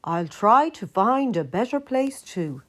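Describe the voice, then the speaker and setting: A woman's voice: mid-range voice, very animated, slow, pitched above the performer's own voice. A woman voicing a sociable, practical male squirrel in a children's story, with a neighbourly manner. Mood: friendly, thoughtful.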